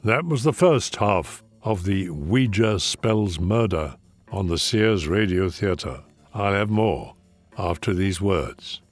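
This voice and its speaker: A man hosting and narrating an old-time radio drama in a slow, measured, ominous manner, on a lo-fi 1970s radio recording. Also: deep voice, animated, faint music behind.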